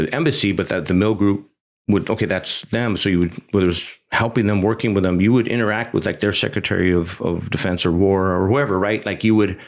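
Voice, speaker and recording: Middle-aged veteran guest with a very low voice, talks candidly with lots of restarts. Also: male voice. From United States. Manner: candid storyteller, frequent restarts and asides. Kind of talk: casual conversational interview.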